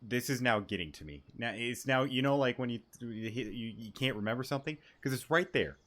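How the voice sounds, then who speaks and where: A man's voice: medium pitch, animated, fairly quick, fairly bright tone. A man giving casual, rambling and profane commentary while playing a video game. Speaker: gaming streamer. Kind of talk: casual let's-play commentary.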